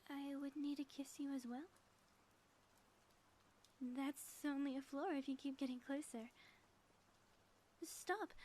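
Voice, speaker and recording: A woman playing a flirtatious, affectionate ex-villain wife in an intimate ASMR audio roleplay, teasing and breathy, often dropping toward a whisper. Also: high-pitched, warm tone. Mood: theatrical, taunting. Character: playful, flirtatious ex-villain wife, theatrical yet sappy and affectionate. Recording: faint background noise.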